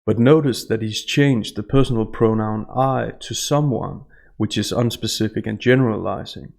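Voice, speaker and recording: A man giving scripted analytical narration in a measured, formal manner over instrumental music. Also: fairly low pitch, vocal fry, darkish tone. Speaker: host analyst of statements.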